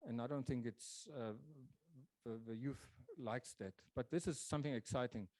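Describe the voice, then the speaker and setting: Dry, mellow-voiced male lecturer. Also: medium pitch, dry recording. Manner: thoughtful, pausing with many fillers and restarts. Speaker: presenter. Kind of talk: public lecture presentation. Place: lecture venue.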